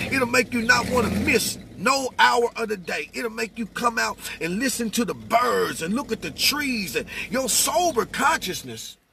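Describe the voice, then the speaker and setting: A middle-aged American man with a raspy voice, giving impassioned, casual testimony about faith in a preacher-like way, inside a vehicle. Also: very high-pitched, slight background noise. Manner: animated, preacher-like, repeats phrases for emphasis.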